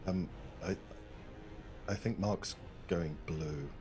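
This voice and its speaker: A man's voice: deep voice, slow. A man playing a tabletop role-playing game, talking casually and thinking aloud about his character. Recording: music behind the voice.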